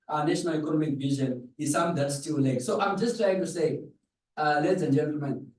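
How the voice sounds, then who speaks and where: A man's voice: a little high, a little mellow. A man with a Zimbabwean accent gives a public address as a pastor, putting rhetorical questions to his listeners. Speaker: pastor giving a presentation. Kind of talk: public address in a dialogue forum. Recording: echoey room, distant microphone.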